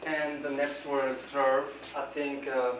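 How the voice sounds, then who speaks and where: A man's voice: medium pitch, little pitch movement, takes it slowly. A young man with a Korean accent presents vocabulary to his class, hesitant and nervous as he speaks. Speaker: student presenter. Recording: roomy, distant, noisy classroom.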